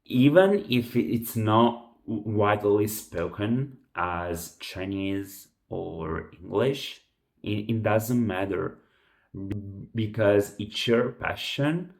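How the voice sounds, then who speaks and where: A man who is a native Italian speaker with an Italian accent, giving unscripted advice to viewers, halting and often restarting or repeating words. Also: on the low side, gravelly. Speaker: language-learning video host.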